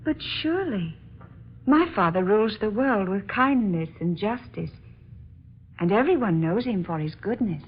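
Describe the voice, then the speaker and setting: A woman's voice: medium pitch, expressive, measured pace, husky. A woman playing a gracious, earnest young princess in a radio drama, speaking with formal, courtly diction. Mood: gracious, curious. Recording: muffled, noisy old-time radio recording.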